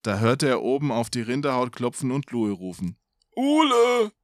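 A man's voice: mid-range voice, fairly quick, dry recording, studio quality. A man reading a folk tale aloud expressively as a podcast storyteller, with casual asides.

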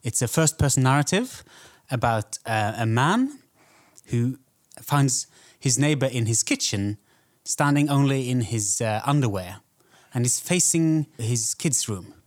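A man co-hosting a podcast in casual conversation, explaining things in measured, halting phrases. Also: medium pitch, animated. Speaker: podcast co-host. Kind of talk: casual film podcast chat.

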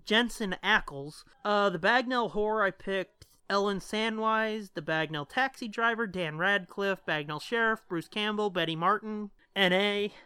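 Profane man podcasting, bright crisp voice. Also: high voice, unhurried, few pauses, no echo. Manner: profane, slangy. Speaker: podcast host. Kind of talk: casual podcast chat.

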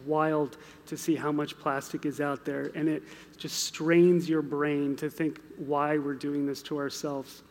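An American man with a slightly high voice speaks on a live panel in a big, reverberant hall. His delivery is unscripted, wry and joking. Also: flat delivery, a little slow, darkish tone. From United States. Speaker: author on a panel. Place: large indoor hall at a live museum event.

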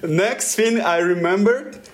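A man with a Russian accent, telling a story in halting English with many fillers and restarts. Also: high voice. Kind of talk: casual storytelling.

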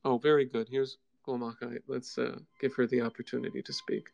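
Man host, casual, hesitant, gravelly. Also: mid-range voice, little pitch movement, fairly quick, a little mellow. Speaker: discussion host. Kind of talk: moderating an online audio discussion. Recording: gravelly, dull lo-fi audio.

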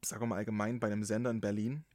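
A man from Saxony with a slight Saxon accent, hosting a podcast in casual, animated chat. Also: lowish voice, racing, dry recording. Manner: animated, emphatic, swears casually. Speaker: podcast host.

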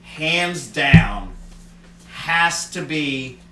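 A man's voice: on the high side, lively intonation, drawn-out words. A man co-hosting a casual podcast, joking around and swearing freely in a rambling way. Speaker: podcast co-host. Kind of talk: casual chat. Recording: echoey room, mic at a distance, faint hiss.

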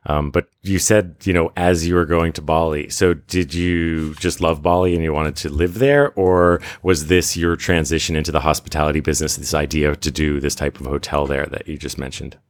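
A man co-hosting an interview show, asking relaxed follow-up questions. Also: rumbling low, normal speed, hardly any pauses, no room sound. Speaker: podcast co-host.

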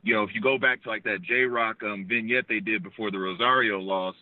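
A man calling in by phone while out on a walk outdoors, talking quickly in a casual, analytical way. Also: fairly low pitch, fairly even intonation, no echo. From United States. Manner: fast, conversational, analytical. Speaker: caller. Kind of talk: phone call-in. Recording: tinny dry phone line.

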